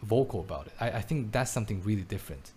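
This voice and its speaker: A man's voice: fairly low pitch, animated, fast, darkish tone, slight background noise. A man raised in Belgium, chatting casually and often restarting his words. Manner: hesitant, frequent restarts and repeated words. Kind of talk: casual chat. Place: his home, lives in Taiwan.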